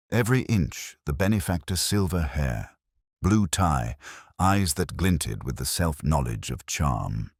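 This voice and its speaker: Very deep-voiced man narrating detective fiction. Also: no echo, studio quality. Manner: measured, brooding, literary phrasing with deliberate pauses. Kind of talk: first-person detective story narration, scripted.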